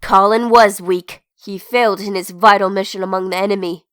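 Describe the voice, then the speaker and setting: A woman's voice: medium pitch, steady tone, fairly quick, fairly bright tone, clean tone, dry recording, studio quality, close to an everyday voice. A woman playing a militant, preachy young revolutionary in a comic dub, lecturing emphatically. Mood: cold, ruthless.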